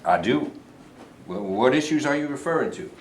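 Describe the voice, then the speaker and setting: A man's voice: medium pitch, expressive, moderate pace, close to an everyday voice. A man reading a sly, genial governor. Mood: evasive. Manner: evasive, patronizing, mock-genial. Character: sly smooth-talking male state governor. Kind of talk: staged play reading. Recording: echoey room, mic at a distance, faint hiss.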